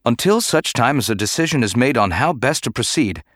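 A man performs a grave, guarded Jedi master for a science-fantasy audiobook. He speaks formally and with restraint, in a dry recording at a middling pitch. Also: lively intonation, fairly quick, no room sound, close to an everyday voice. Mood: firm. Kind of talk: dramatic audiobook character acting.

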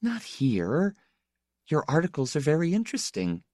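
A hesitant young lady from an audiobook, voiced by a man, pitched high and recorded dry. The tone is thin, sweet and polite, with soft, careful calm. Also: moderate pace, dry recording. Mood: hesitant, wistful. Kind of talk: audiobook character dialogue.

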